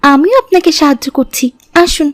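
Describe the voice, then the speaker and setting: A woman's voice: high voice, fairly quick, no room sound, studio quality, close to an everyday voice. A woman playing a polite, pious young daughter in an Islamic cartoon, respectful and earnest.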